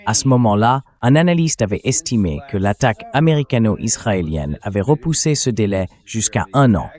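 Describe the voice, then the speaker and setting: Low-pitched man delivering political commentary. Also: lively intonation, moderate pace, a little mellow. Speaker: host. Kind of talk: political commentary, dubbed translation read by AI voice.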